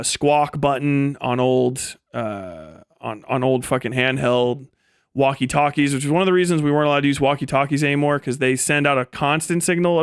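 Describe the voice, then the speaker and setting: A man's voice: mid-range voice, clean tone, dry recording, clean studio sound. A middle-aged man telling stories in a casual, rambling livestream style, with lots of swearing and slang. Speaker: streamer telling stories from military service.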